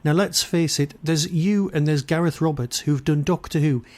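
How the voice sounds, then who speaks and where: A man's voice: fairly high pitch, moderate pace, darkish tone. A man hosting a podcast interview, chatting informally and jokingly with his guest. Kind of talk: casual interview chat.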